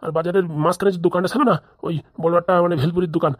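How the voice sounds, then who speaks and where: A woman's voice: lowish voice, little pitch movement, rapid-fire, dry recording, studio quality, lightly acted. A woman voicing a gossipy, blunt, teasing village uncle in a comedy cartoon. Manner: chatty, needling, colloquial.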